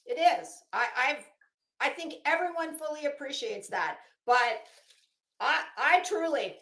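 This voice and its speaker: A woman giving a casual, blunt, profane commentary to viewers, speaking emphatically and haltingly with drawn-out words. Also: high-pitched, fairly bright tone. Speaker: online commentary host. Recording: roomy echo.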